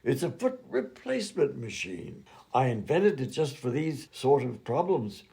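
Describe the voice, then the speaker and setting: A man's voice: mid-range voice, very animated, unhurried, vocal fry, mellow. A man playing an absent-minded, fussy and polite mad doctor and inventor in a comedy radio play. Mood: breezy, fussy.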